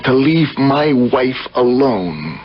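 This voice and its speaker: An actor playing a wronged husband, coldly polite and sardonic, in a 1970s radio drama. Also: male voice, medium pitch, very animated, husky, full character voice. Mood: coldly menacing. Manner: formal, deliberate, sardonic. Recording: muffled old radio broadcast.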